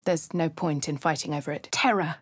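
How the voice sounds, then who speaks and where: An actress voicing a posh, wry young adventurer woman with an English accent, reading game lines and narration in a crisp, well-spoken way. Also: deep voice, fairly quick, no room sound, clean studio sound. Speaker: player character voice. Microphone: studio mic, dry.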